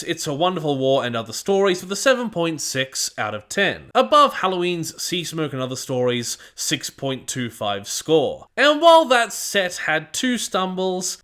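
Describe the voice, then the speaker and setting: A man's voice: on the high side, a bit bright. A man reading a scripted review as host of his own channel.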